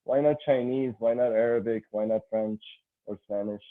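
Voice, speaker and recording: A Canadian man hosts an online conversation, restating the guest's points and setting up questions with frequent restarts. His voice sits at a middle pitch. The audio is narrow and tinny, like a phone line. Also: fairly even intonation, normal speed. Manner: summarizing and framing questions, repeated starts. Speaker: host. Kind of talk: online interview.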